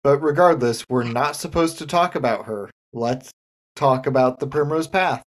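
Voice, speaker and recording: A woman's voice: rumbling low, moderate pace. A woman host moderating a scripted comic radio talk show.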